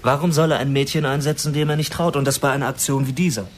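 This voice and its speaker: An actor in a German radio drama playing an urgent intelligence operative, brisk and argumentative. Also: male voice, medium pitch, fairly even intonation, lightly acted. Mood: doubting urgency. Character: urgent, questioning male intelligence field operative. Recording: faint background ambience noise.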